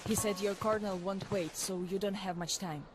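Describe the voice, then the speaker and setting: An actress voicing a tough, practical young woman who leads a forest community and gives the hero quick directions. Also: mid-range voice, steady tone, background noise, close to an everyday voice. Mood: brisk. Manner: brisk, practical, giving directions. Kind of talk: video game cutscene voice acting.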